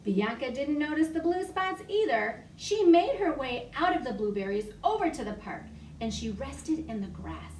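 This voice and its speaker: A woman librarian reading a picture story aloud to young children from her home, animated and full of gasps. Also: sits high, moderate pace, distant mic, slight background noise. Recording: echoey room, voice off-mic.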